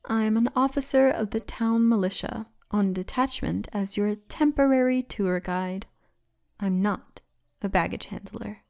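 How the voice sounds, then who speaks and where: A towering orc militia woman, played by a female voice actor in an intimate ASMR roleplay. She speaks slowly in a mellow, relaxed tone at a medium pitch. Her manner is confident and teasing, with a formal turn of phrase. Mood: teasing, playful. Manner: teasing, confident, formal turns of phrase, often breathy murmur.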